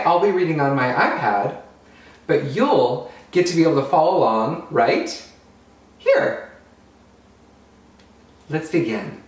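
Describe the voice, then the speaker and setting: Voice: mid-range voice, distant mic. A drag queen host reading a children's picture book aloud in a theatrical way, drawing out words for young listeners. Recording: roomy, echoey, away from the mic.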